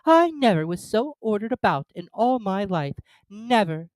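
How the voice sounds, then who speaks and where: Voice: mid-range voice, very animated, moderate pace, dry recording, pitched above the performer's own voice, close to an everyday voice. A reader voicing Alice, a polite, curious young girl who questions primly. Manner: prim, slightly indignant questioning.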